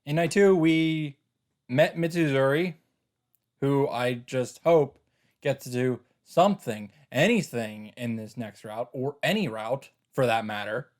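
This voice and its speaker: A man's voice: mid-range voice, measured pace. A man giving casual commentary on a game playthrough, with wry, self-interrupting asides.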